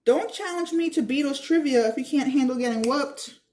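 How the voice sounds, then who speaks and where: A woman's voice: high voice, moderate pace, darkish tone, voice pushed up, natural voice. A woman voicing a bookish, competitive young boy in a storybook, with a know-it-all manner. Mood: smug.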